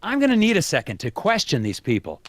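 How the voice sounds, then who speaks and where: A man's voice: fairly high pitch, huge pitch swings, fast talker, no room sound. An actor playing an earnest, puzzled male investigator in an adventure game, inquisitive and given to talking to himself. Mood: probing. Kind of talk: animated game dialogue and narration.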